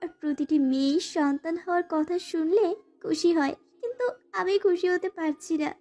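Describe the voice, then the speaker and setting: নারীকণ্ঠে অভিনীত খুব চড়া গলার দুঃখী তরুণী বউ. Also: female voice, very high voice, moderate pace, warm tone. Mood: hesitant, confessing. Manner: formal, submissive address to her husband. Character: young wife and student, timid, sorrowful, pleading narrator. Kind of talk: dramatized first-person romantic story narration.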